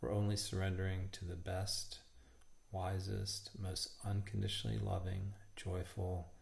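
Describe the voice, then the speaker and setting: A man leading a guided meditation in a calm, measured way, in short phrases with long pauses. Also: low voice, creaky.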